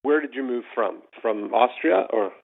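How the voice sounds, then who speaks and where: A man's voice: medium pitch, animated, normal speed, creaky, husky. A man, a podcast host, interviewing a guest over a call in an easygoing, reassuring way. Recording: tinny phone-like call line.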